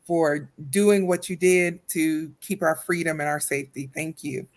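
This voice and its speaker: A woman's voice: sits low, unhurried. A woman hosting a podcast interview, speaking with enthusiastic, affirming encouragement toward her guest. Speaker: podcast host and educator.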